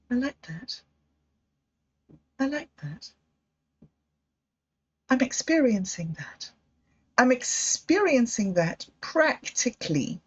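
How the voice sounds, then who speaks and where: A middle-aged woman hosting a livestream, telling personal stories in a slow, thoughtful, reflective way. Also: high-pitched, breathy edge. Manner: slow, thoughtful storytelling with emotional quotes. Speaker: livestream host. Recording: dull lo-fi livestream audio.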